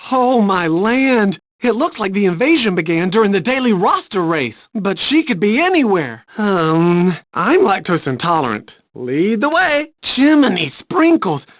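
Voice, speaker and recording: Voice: mid-range voice, sing-song, measured pace, hardly any pauses, no room sound. An actor voicing a cheerful, earnest little handyman hero in a video game, folksy and polite, with old-fashioned exclamations. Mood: alarmed, fretful, then earnest, chatty. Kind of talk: animated game character voice clips. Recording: dull, lo-fi handheld game audio.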